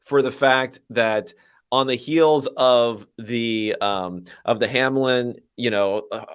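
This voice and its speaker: A man's voice: mid-range voice, slow, clear, resonant tone. A man hosting a sports podcast, chatting casually with wry, sarcastic asides. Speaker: podcast host.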